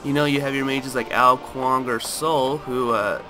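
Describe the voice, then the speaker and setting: A man with a raspy, just-woken-up voice talking through a game item comparison in a relaxed way, full of fillers. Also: mid-range voice, measured pace. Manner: relaxed, lots of fillers and hedges. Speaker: gaming video host. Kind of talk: casual game commentary. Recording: loud background music.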